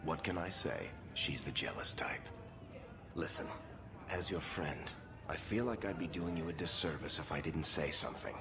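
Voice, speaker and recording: Deep-voiced wry rogue, music behind. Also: male voice, normal speed. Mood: wry teasing. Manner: wry, teasing. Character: roguish wisecracking male storyteller, confident and teasing friend. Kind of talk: video game dialogue acting.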